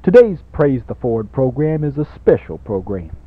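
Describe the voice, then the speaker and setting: A man's voice: medium pitch, big pitch swings, normal speed, no room sound. A man playing a grandiose, money-hungry evangelist in a parody radio sermon, with an emphatic, repetitive preacher's cadence. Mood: grandly grateful. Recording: narrow-band 1980s college radio recording.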